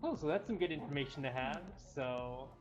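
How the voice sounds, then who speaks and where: A woman's voice: low-pitched, lively intonation, a little fast. A woman chatting casually as co-host of a live showcase stream. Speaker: cat cafe livestream co-host. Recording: dull lo-fi livestream audio. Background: faint music.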